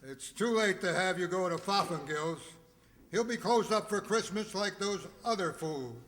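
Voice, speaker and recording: Grumpy old miserly businessman, acted by a man, high sharp voice, gruff, contemptuous, curt, radio drama, echoing hall. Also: moderate pace, slight background noise. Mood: grudging, irritated. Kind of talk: radio play acting of a Victorian tale. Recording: echoey hall with long reverb.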